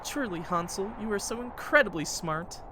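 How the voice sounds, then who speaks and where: A man's voice: sits high, lively intonation, moderate pace, background noise, pitched above the performer's own voice. A man voicing an anxious young girl in a visual novel, sarcastic and exasperated, with pleading moments.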